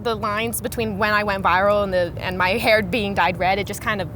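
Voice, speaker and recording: A young woman in her twenties chatting in a casual interview as a guest, upbeat and quick to laugh. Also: mid-range voice, fairly even intonation, a bit bright, slight background noise. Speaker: interview guest, content creator.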